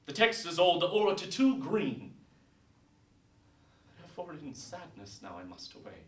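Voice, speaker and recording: A man playing a bashful but disdainful young man in a deliberate, emphatic recital of Shakespeare verse. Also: fairly high pitch, huge pitch swings. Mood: earnest, ashamed. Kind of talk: theatrical Shakespeare verse monologue. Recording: roomy echo, distant mic.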